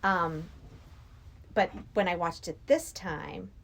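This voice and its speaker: Middle-aged woman, lowish voice, chatting casually. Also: takes it slowly, creaky, fairly bright tone, slight background noise. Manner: anecdotal, acts out quoted dialogue. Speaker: podcast guest. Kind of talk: casual film discussion.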